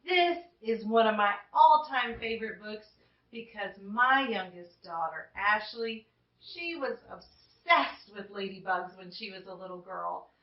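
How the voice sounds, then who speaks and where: A middle-aged woman presenting to young children in a chatty, expressive way, addressing them directly. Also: mid-range voice, measured pace. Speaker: host of a children's story show. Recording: roomy, distant mic, slightly dull.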